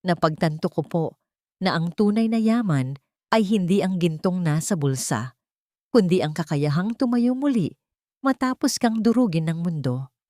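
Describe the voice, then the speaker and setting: Audio drama heroine played by a woman: young, gentle and reflective, lower register, earnest measured lines, dry recording. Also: animated, dry recording, lightly acted.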